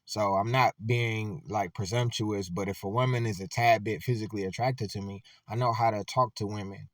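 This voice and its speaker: A man's voice: on the low side, steady tone, moderate pace, no room sound, recorded from a distance. A man giving loose, conversational reaction commentary, full of filler words.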